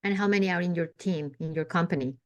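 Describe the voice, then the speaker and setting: A woman's voice: lowish voice, fast. A woman hosting a podcast interview, enthusiastic and full of praise. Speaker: podcast host.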